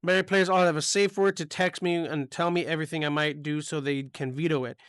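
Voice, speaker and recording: A man's voice: fairly high pitch, fairly even intonation, a little fast, fairly bright tone, smooth. A man co-hosting a casual chat about tabletop game stories, blunt and swearing a lot as he reads comments aloud. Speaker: podcast co-host reading posts.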